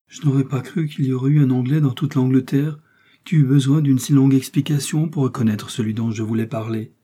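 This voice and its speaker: A man's voice: medium pitch, steady tone, fairly quick, mellow, slightly rough, pitched above the performer's own voice, natural voice. A man voicing a young, scheming woman prisoner who feigns pious, desperate anguish in a theatrical, pleading manner for a storybook. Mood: righteous indignation.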